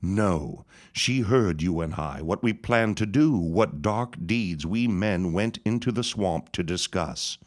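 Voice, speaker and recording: A scheming reverend with a menacing, gloating manner. His voice sits very low and swings widely in pitch. He draws every word out slowly, as in an old-time radio play. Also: lively intonation. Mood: sinister. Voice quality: deep, slow, drawn-out menace. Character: scheming sinister small-town reverend, gloating. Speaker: Reverend Gaumont. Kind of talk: radio drama acting.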